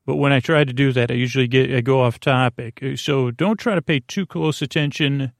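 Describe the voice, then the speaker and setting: A man with a creaky voice hosting a bedtime podcast, meandering haltingly and with gentle silliness through a monologue meant to lull listeners to sleep. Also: medium pitch, no echo, clean studio sound. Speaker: podcast host.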